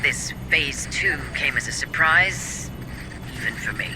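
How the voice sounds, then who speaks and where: A polished corporate director from a sci-fi game, with a slightly high voice and clear noise behind him. He is formal and measured, calculating in every euphemism. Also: lively intonation. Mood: cool, grave. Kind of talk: video game cutscene acting.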